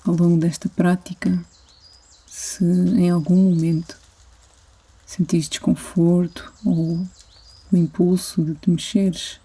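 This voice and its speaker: Very soft, slow Portuguese woman guiding sleep meditation. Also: fairly low pitch, flat delivery, breathy edge. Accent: European Portuguese. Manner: soothing, gentle, unhurried phrasing with long pauses. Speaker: yoga nidra instructor.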